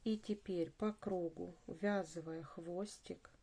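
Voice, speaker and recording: A woman narrating a craft tutorial step by step, in an unhurried, instructive way, repeating phrases as she goes. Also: lowish voice, steady tone, warm tone, a little hiss or hum.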